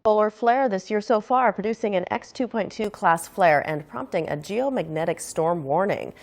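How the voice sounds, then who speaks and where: A woman's voice: medium pitch, lively intonation, moderate pace, a little mellow. A woman reading scripted, explanatory news narration.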